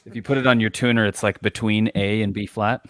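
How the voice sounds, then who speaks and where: A man's voice: lowish voice, fairly even intonation, fairly quick, no room sound. A man joining a live stream as a bandmate, chatting casually and joking. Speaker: bandmate guest. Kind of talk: casual chat.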